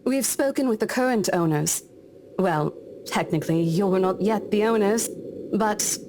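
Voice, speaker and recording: A woman playing a brisk professional woman in a visual novel. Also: medium pitch, background noise, natural voice. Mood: businesslike.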